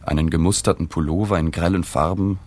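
An actor playing a sober journalist who narrates a radio drama in a matter-of-fact, observant way. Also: male voice, deep voice, steady tone, fairly quick, darkish tone. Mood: sombre, restrained. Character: sober male journalist narrating his reporting.

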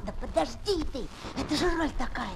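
A woman playing an emotional, animated schoolboy who tells his story in a Soviet children's radio play from the 1970s. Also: high voice, a little fast, vocal fry. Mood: excited, dramatic. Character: boastful yet tender-hearted schoolboy Tolka, would-be film actor. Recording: noisy old 1970s flexi-disc record.

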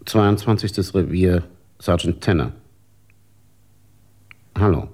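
An actor playing a businesslike police sergeant on station phone duty in a German radio drama, formal and matter-of-fact. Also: male voice, low-pitched, takes it slowly, darkish tone, slight background noise.

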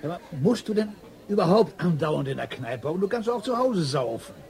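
An actor playing a pompous, quarrelsome know-it-all at the pub in a radio drama, mocking and theatrical. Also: male voice, fairly high pitch, very animated, moderate pace, a little mellow. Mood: needling.

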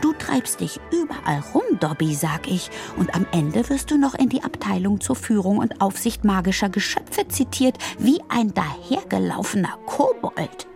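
A woman's voice: medium pitch, sing-song, normal speed, creaky, few pauses, a little mellow, soft background music. A woman voicing a timid, anxious female house-elf servant in an audiobook, with a tiny, trembling, piping voice and a submissive, earnest manner. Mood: indignant, fearful. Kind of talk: audiobook character voice.

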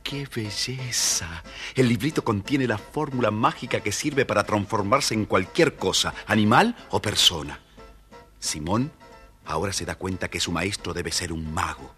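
Male storyteller: low, husky, expressive children's narration over faint music. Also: unhurried. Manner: theatrical, addressing the listeners.

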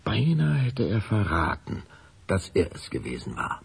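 A man narrating a children's radio play in a scripted storybook style, with a gravelly voice. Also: on the low side, wildly swooping pitch, unhurried.

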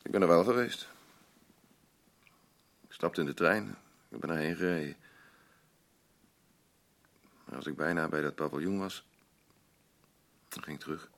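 An actor playing a bitter, defensive, grieving middle-aged husband in a naturalistic radio play, blunt and grumbling, with a gravelly voice. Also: male voice, low voice, unhurried, pauses often, a bit bright, exaggerated voice. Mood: evasive, subdued. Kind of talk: naturalistic radio drama acting.

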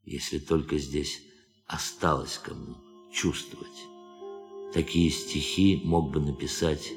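A bitter, soulful astronaut in a radio drama. His very low voice moves in measured, literary phrases, theatrical and grave, over a musical backdrop. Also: steady tone. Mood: poetic, solemn. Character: brooding, bitter male astronaut with a poetic soul. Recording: studio radio play with music behind.

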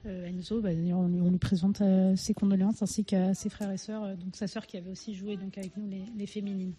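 Soft, mellow female radio interviewer. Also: medium pitch, flat delivery, a little fast, husky. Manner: hesitant, polite, roundabout phrasing.

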